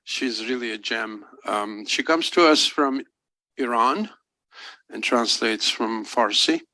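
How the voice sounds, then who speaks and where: Slow gravelly man introducing speakers. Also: medium pitch, pushed and bright, no room sound, low-quality recording. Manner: halting, many "uh" pauses. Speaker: event introducer. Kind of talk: introducing panelists. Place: university symposium event.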